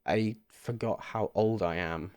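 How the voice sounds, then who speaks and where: A young man in his twenties speaks earnestly to the camera, wandering and repeating himself as he thinks aloud. His voice sits a little low, and the sound is dry and close with no room echo. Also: slow, darkish tone. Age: 20s. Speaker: vlogger. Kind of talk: casual reflective monologue to camera.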